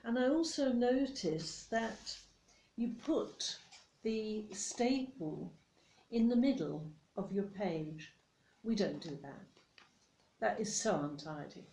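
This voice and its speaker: A woman with a British accent playing a fussy, controlling office boss who scolds politely and condescendingly in a soft-spoken ASMR roleplay. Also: medium pitch, lively intonation, slow pace, roomy sound, a little hiss or hum, rough recording. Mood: fussy, nitpicking.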